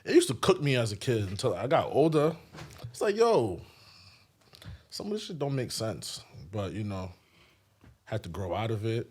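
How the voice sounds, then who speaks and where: A young man from Haiti whose first language was French, telling his story as a guest in a relaxed, slangy podcast chat. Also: lowish voice, moderate pace, long pauses, slightly rough. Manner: laid-back, slangy storytelling. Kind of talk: casual chat.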